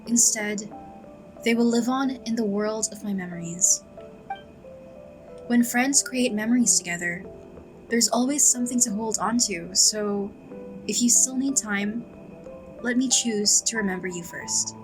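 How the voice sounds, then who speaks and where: A woman playing a gentle, earnest, thoughtful young character in a video game. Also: fairly high pitch, a little slow, pauses often, close to an everyday voice, poor audio. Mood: earnest, wistful. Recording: game music behind the voice.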